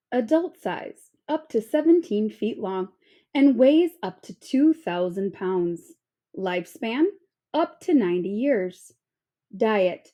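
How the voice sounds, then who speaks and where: A woman reading an informational children's book aloud like a teacher, with expressive, clearly phrased delivery. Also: mid-range voice.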